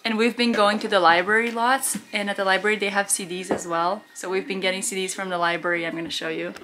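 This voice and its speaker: A woman's voice: mid-range voice, level tone, fast talker, loud music behind the voice. A woman in her thirties from Romania, a native Romanian speaker, casually narrating a family vlog from her home. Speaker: vlogger.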